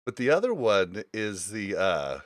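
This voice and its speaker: A man with a fairly deep voice hosts a relaxed gaming conversation. The sound is dry, with little room on it, and his explanations come out informal and rambling. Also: measured pace, no room sound. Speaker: podcast host. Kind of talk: casual gaming podcast chat.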